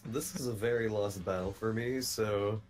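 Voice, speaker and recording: A man's voice: on the low side, fairly even intonation, moderate pace, darkish tone. A young man bantering casually with friends during a group game. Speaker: online gaming video player. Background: video game music.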